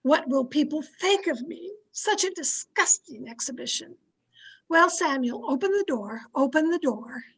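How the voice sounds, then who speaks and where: A woman's voice: high voice, expressive, a little slow, a bit bright, heavily acted, rough recording. A woman playing a domineering, haughty, easily outraged society wife in an old-time radio drama, scolding and imperious. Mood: indignant, scolding. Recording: amateur video-call recording.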